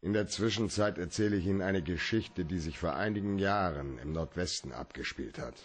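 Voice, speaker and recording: An actor in a German radio play as a cool, cynical, shrewd private detective with a laconic, deadpan way of talking. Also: male voice, sits low, brisk. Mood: matter-of-fact.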